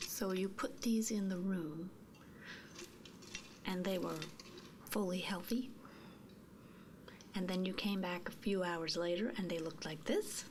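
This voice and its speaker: A noticeable noise bed sits under a woman's close, breathy whisper. She speaks very slowly, playing a gently inquisitive service technician in an ASMR roleplay, with soft murmured hums. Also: lowish voice. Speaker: ASMR performer.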